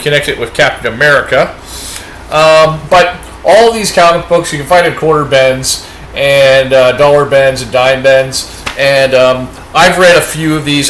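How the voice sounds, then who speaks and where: A man's voice: on the high side, bright, forceful tone, a little hiss or hum. A man with a Canadian accent chatting casually about his comic finds, rambling and upbeat, with many fillers. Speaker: comic book reviewer. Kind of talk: casual show-and-tell chat. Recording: echoey room.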